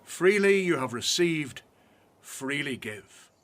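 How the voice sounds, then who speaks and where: An actor playing Jesus as a solemn, commanding teacher who speaks in measured, preaching phrases, in a radio drama. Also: male voice, a little high, animated, fairly bright tone.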